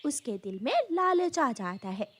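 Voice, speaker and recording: A woman's voice: sits high, very animated, measured pace, a bit bright, a little hiss or hum. A woman narrating a scripted children's story.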